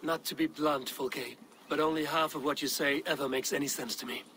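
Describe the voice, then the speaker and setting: A viking fighter, wry and blunt, forceful tone a bit high, game scene with noisy ambience. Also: male voice, steady tone, brisk. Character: steadfast Norse viking warrior, wry and blunt. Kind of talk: dramatic video game acting.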